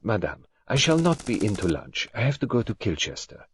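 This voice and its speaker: A man playing a polite, brisk foreign detective with a French-speaking accent and formal, precise phrasing in an audiobook. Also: fairly low pitch, very animated, background noise. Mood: satisfied.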